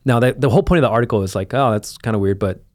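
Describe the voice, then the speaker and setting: A man's voice: medium pitch, racing, darkish tone, no room sound. A man co-hosting a podcast, talking casually and explaining things in a rambling way through examples. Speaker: podcast co-host. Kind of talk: casual conversational discussion.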